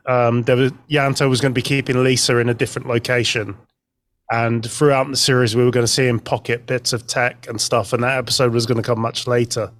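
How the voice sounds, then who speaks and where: A man's voice: medium pitch, dry recording. A man speaking as a podcast guest, explaining details in an informal, conversational style. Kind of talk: informal fan discussion.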